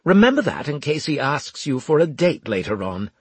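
Man acting a haughty, irritable officer, pitched high. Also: big pitch swings, normal speed, breathy edge, dry recording, heavily acted. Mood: exasperated, sarcastic. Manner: clipped, sarcastic, demanding. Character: haughty, irritable male military officer, sneering and urgent.

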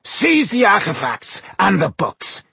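A man voicing an imperious evil sorcerer in a storybook, booming out drawn-out commands in a husky voice. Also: huge pitch swings, bright, forceful tone, no echo, exaggerated voice. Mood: commanding, menacing.

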